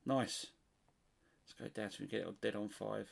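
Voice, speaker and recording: A man's voice: fairly low pitch, steady tone, normal speed, creaky. A middle-aged British man giving a casual hands-on demonstration, thinking aloud as he goes. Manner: unscripted, thinking aloud while testing. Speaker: CB radio hobbyist presenter.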